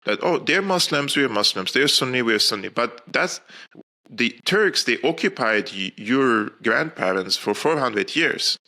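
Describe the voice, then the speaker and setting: Syrian-born guy on a podcast, super bright edgy voice, earnest, keeps restarting. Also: mid-range voice, expressive, a little slow. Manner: earnest, explanatory, many fillers and restarts. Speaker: podcast guest. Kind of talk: interview answers.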